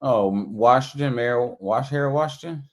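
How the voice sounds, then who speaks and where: A man's voice: mid-range voice, moderate pace. A man taking part in the stream, hesitant and distracted by his phone. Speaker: participant on the stream.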